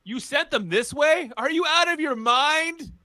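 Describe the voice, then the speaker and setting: A woman's voice: high-pitched, unhurried, bright, forceful tone, no room sound, clean studio sound. A woman playing an urgent, easily exasperated superhero team member in a radio theatre read. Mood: alarmed. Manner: urgent, questioning.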